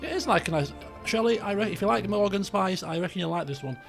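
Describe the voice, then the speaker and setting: A British man with a croaky voice, chatting casually and in a rambling, colloquial way as he hosts a game livestream. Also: sits high, expressive, fast, soft background music. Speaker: game streamer, host.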